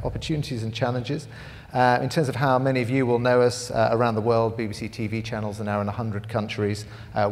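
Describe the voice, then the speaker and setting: Male panelist, low and gravelly, measured delivery, conference hall echo. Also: darkish tone. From United Kingdom. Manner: measured, with frequent "uh" fillers. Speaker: panel speaker, head of audiences. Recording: large hall reverb.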